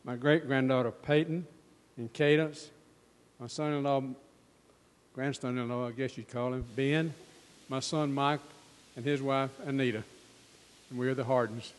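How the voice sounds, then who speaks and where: A man's voice: mid-range voice, fairly even intonation, normal speed. Older man naming relatives in a hall. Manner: plain, listing relatives. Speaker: church member introducing family. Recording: distant voice in a reverberant hall.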